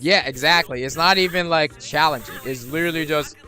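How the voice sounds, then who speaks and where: A man's voice: on the high side, a little fast, pushed and bright, soft background music. A young man giving rambling, casual commentary while playing a video game, restarting his sentences and swearing freely. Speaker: gaming streamer.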